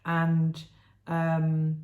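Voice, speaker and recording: Croaky middle-aged woman chatting in an echoey room. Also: sits low, monotone, drawn-out words, phone-quality sound. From England. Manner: chatty, rambling, many fillers. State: croaky voice after illness. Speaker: podcast co-host. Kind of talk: casual knitting chat. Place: home room.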